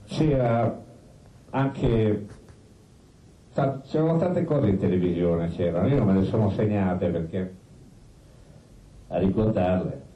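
Low, very dark-voiced man, roomy stage. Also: expressive, muffled recording. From Italy. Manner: colloquial, repetitive, halting, with crude asides. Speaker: stage storyteller. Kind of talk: live theatrical monologue, conversational reminiscence. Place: live performance space with musicians. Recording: roomy live stage pickup, distant, faint noise.

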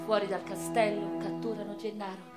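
A woman acting a proud, anguished eighteenth-century Neapolitan revolutionary facing execution, in a theatrical radio drama monologue with weighty, deliberate phrasing. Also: medium pitch, level tone, natural voice. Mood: anguished, urgent. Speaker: stage and radio actor. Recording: violin music behind the voice.

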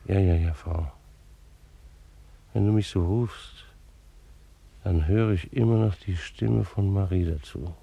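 A retired bailiff of sixty-five in a radio play. His voice is low and gravelly, and he talks in formal, old-fashioned official phrases. He is a dutiful, fussy beekeeper who reflects on old wounds. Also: slow pace, pauses often, mellow, slight background noise, heavily acted. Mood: reflective. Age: 60s. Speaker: actor narrating in character. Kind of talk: German radio play monologue and dialogue.

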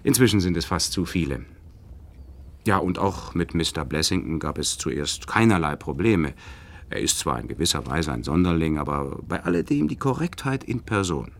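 A man's voice: a bass voice, animated, moderate pace. A man in a German radio play, playing an educated, polite nerve doctor who speaks formally and a little hesitantly. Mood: measured. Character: educated male nerve doctor, courteous, measured, mildly sceptical. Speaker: actor in a radio drama. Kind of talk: German radio play acting, recounting and dialogue.

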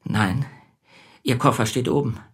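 A man acting in a German radio play as an anxious, withdrawn bookseller, speaking in a subdued, hesitant, terse way with a husky voice. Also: mid-range voice, a little slow. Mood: anxious, evasive. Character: anxious, withdrawn male bookseller whose wife has gone missing.

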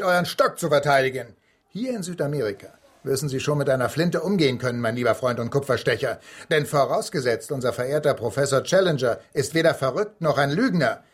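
A swaggering adventurer, lively swooping pitch, husky, jovial, teasing, old-fashioned German radio drama. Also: male voice. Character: swaggering, cheerful English adventurer and gun enthusiast.

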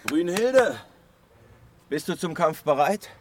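A man's voice: a little high, lively intonation, slow, noisy background. A male actor playing a proud, willful god in a fantasy radio drama, with a declamatory, commanding manner. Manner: theatrical, declamatory. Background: music.

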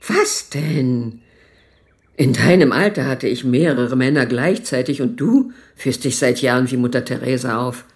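A woman's voice: rumbling low, a little slow, a little mellow. An actress playing a stubborn older mother in a naturalistic radio drama, brusque, scolding and without any embarrassment. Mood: provocative, frank. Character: blunt, stubborn, sharp-tongued old mother.

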